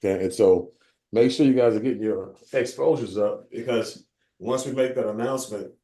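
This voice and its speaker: A man's voice: fairly low pitch, moderate pace, mellow. A man hosting a casual motivational team call, rambling with many fillers and restarts. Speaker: host of a sales team call.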